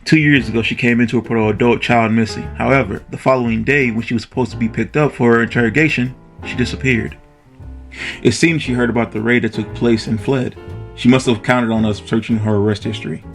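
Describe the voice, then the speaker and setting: Male actor for radio-play rookie cop. Young, creaky, formal, eager to please his superior, a little nervous. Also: mid-range voice, fairly even intonation, a little fast, faint music behind, lightly acted. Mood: earnest, explaining. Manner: polite, deferential, nervously formal. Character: eager, awkward rookie police officer, deferential young man. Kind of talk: radio drama acting.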